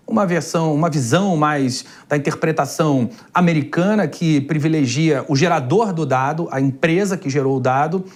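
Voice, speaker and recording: A man hosting a Brazilian TV interview program, putting measured, formal questions to his guest. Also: fairly high pitch, a little mellow. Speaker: TV program host.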